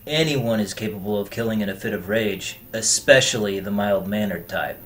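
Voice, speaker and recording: An actor playing an opinionated, drily witty man with a deadpan, sardonic delivery in an audio drama. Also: fairly low pitch, normal speed, natural voice. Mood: serious.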